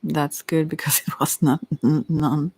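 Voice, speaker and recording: A woman speaking softly, at times in a whisper, in a relaxed, meandering ASMR tarot ramble with hesitant asides. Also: sits low, a little slow, vocal fry, husky, low-quality recording. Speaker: host, tarot reader. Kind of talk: soft-spoken rambling ASMR tarot chat.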